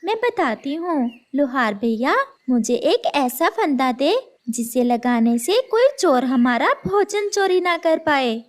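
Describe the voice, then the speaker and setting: A woman voicing a caring, gentle mother sparrow in a Hindi children's cartoon, with simple, clearly addressed lines. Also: high voice, expressive, takes it slowly, few pauses. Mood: earnest, kindly.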